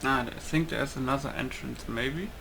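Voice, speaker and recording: A man giving casual, low-key let's play commentary, musing aloud as he plays. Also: normal speed, vocal fry, noisy background. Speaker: gamer, let's play host.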